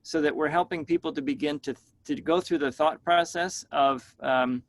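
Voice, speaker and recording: A man leads a professional panel over a video call, speaking in a measured, thoughtful way. His voice sits a little higher than most men's, and he tends to put open questions to the group. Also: a little hiss or hum. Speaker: discussion moderator.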